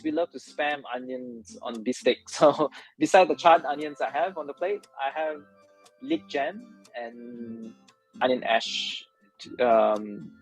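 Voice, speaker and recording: A man's voice: mid-range voice, husky, rough recording. Man explaining a recipe over music. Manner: casual, explains dishes step by step. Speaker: chef.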